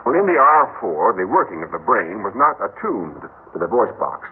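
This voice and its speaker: An actor playing a persuasive, smooth-talking male head of a robot company in an old radio drama. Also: lowish voice, sing-song, moderate pace, full character voice. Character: suave middle-aged male robot-company chief. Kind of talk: 1960s radio drama acting. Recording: tinny old radio broadcast with hiss.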